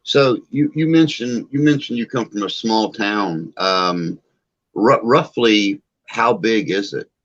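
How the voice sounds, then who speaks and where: A man's voice: on the low side, takes it slowly, a bit bright. A man, hosting a podcast interview, who stammers and repeats words as he asks questions. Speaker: podcast host. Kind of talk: casual interview chat. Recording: dull lo-fi sound.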